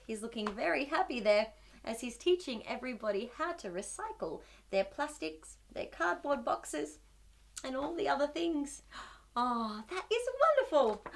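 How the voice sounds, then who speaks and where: High, animated woman reading to kids. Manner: exaggerated gasps and questions to young listeners. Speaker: children's storytime host. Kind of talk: animated picture-book read-aloud for young children.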